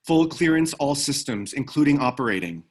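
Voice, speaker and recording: Man playing an ex-military operative, gruff, guarded, terse, medium-pitched, drama read. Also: lively intonation, normal speed, lightly acted. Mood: terse, commanding. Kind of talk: screenplay table read.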